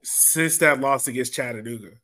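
Male podcast host, mid-pitched, informal sports talk, conversational delivery. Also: moderate pace, sharp tone. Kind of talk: casual sports podcast discussion.

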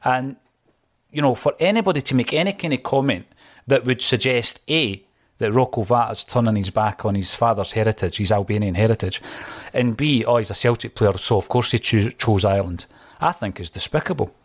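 A man's voice: mid-range voice, a little fast. A man hosting a football podcast, speaking in an emphatic, opinionated way. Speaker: podcast host. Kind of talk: casual chat.

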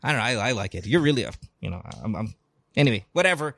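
A man's voice: mid-range voice, sing-song, very fast, gravelly, a bit bright, dry recording, clean studio sound. A man hosting a casual advice chat, blunt and teasing, swearing freely. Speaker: host.

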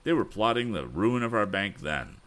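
Man reading young inventor, eager and hearty, bright lively delivery. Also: lowish voice, moderate pace, voice pushed up. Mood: urgent.

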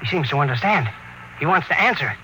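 An earnest young patrol linguist, played by a male actor, speaks in a fairly high voice with careful, polite deference. It is vintage radio drama, with music clearly heard behind him. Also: lively intonation, fast talker, roomy sound, close to an everyday voice, rough recording. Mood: earnest, helpful. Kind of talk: old-time radio drama acting.

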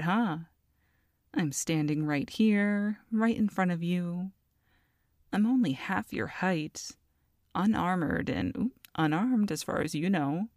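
A woman's voice: on the low side, a little mellow, no echo, studio quality. A woman voicing a small, smug, flirty goblin mother who teases and taunts in an ASMR audio roleplay. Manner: teasing, smug, drawn-out villainous taunting.

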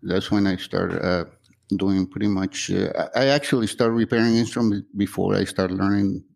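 A man from Guatemala talks casually in an interview, soft-spoken with small laughs. His voice sits fairly low and has a gravelly edge. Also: normal speed. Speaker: bass player in a band.

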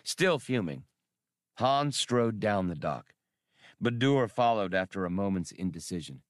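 A man's voice: lowish voice, expressive, measured pace, no room sound, studio quality. A man reading an adventure story aloud as a dramatic, scripted narration. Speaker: audiobook reader.

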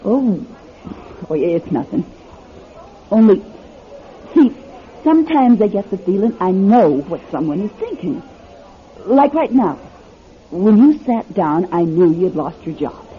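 A kindly scrubwoman in an old radio play. She is modest and folksy, faltering a little, with pitch that moves up and down a lot. The recording is slightly muffled. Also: big pitch swings, measured pace, long pauses. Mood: hesitant, uneasy. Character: kindly, modest scrubwoman with mind-reading knack. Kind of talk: radio drama acting. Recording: dull lo-fi radio drama tape.

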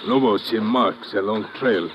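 An actor playing a native jungle guide who speaks halting, clipped broken English in old radio adventure style. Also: male voice, on the low side, natural voice. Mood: plain, watchful. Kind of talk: 1950s radio adventure acting. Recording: muffled, noisy old radio recording.

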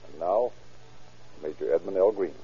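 A man's voice: low-pitched, normal speed, breathy edge. An American man announcing from a script as narrator of a 1930s radio program. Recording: dull old radio recording.

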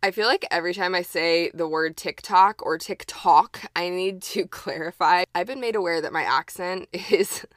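Bright, upbeat Texan woman podcaster. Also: on the low side, normal speed, few pauses, no echo, clean studio sound. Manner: upbeat and chatty, frequent laughs and fillers. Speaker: podcast host. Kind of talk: casual solo podcast talk.

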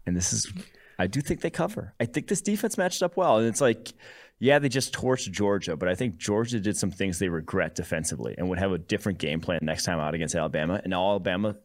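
Very deep-voiced man analysing sports. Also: lively intonation, quick pace. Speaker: sports podcast host. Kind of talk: casual sports analysis chat.

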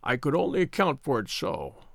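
A man voicing a gravely sick, pleading man with a weak, whispery, labored voice in an audiobook story. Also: mid-range voice, big pitch swings, normal speed, no room sound, clean studio sound. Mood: feeble, suffering. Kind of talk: dramatized audiobook reading.

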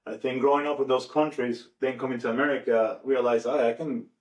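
A man's voice: medium pitch, steady tone, brisk, darkish tone. Venezuelan man chatting in an echoey room. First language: Spanish. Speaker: podcast participant. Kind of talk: casual conversation. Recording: echoey room, distant mic.

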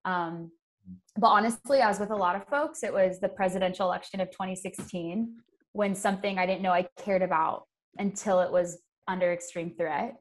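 A woman's voice: on the low side, steady tone, normal speed. A young American woman giving earnest, conversational interview answers as an activist guest, explaining her points and voicing imagined thoughts.

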